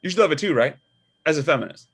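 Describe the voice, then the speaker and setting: A man's voice: a little high, animated, fast talker, vocal fry, fairly bright tone, slightly rough, full character voice. A man playing a blunt, stubborn, unbothered father of a political candidate in a comedic table read. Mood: unbothered, needling.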